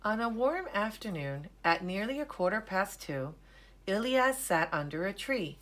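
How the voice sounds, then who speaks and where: A woman reading a children's story aloud in an expressive, deliberate storytelling manner. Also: medium pitch. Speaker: storybook reader. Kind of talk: scripted children's story narration, read aloud.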